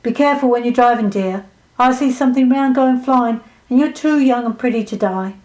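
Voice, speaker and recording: A woman's voice: on the high side, brisk, darkish tone, close to an everyday voice, low-quality recording. Woman playing a blunt, theatrical older fortune teller. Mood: ominous. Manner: colloquial, pronouncing, calls listeners dear.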